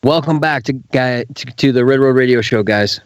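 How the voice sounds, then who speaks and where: A man's voice: medium pitch, moderate pace, clear, resonant tone, no room sound, muffled recording. A man hosting a radio show in a conversational interview style.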